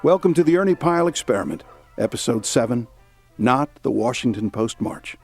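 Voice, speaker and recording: An actor playing a wry, folksy storyteller, giving scripted narration for a period audio drama. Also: male voice, mid-range voice, animated, fairly quick, gravelly, faint music behind. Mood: announcing.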